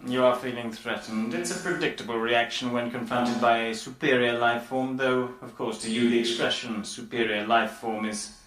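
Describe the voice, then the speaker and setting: An actor playing an arrogant, literal-minded machine in a radio comedy, speaking in a stilted, superior manner. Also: male voice, mid-range voice, steady tone, moderate pace, few pauses, a bit bright, roomy sound, close to an everyday voice, rough recording. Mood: condescending. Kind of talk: radio sitcom acting.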